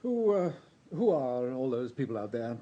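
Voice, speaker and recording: An actor playing an evasive, uneasy murder suspect in a radio drama, hesitant and defensive. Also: male voice, medium pitch, very animated, moderate pace, muffled recording, background noise, close to an everyday voice. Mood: rattled, defensive.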